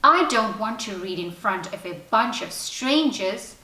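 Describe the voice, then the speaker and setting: A woman's voice: medium pitch, lively intonation, unhurried, bright, forceful tone. A woman voicing an anxious, stubborn young schoolgirl in a storybook, earnest and grumbling, in plain speech. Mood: reluctant, grumbling. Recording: roomy echo, distant from mic.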